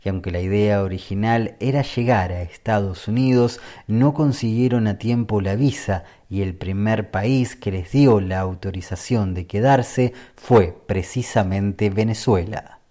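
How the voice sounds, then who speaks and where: A man narrating a scripted radio feature as a reporter, with a measured, even storytelling delivery. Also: on the low side.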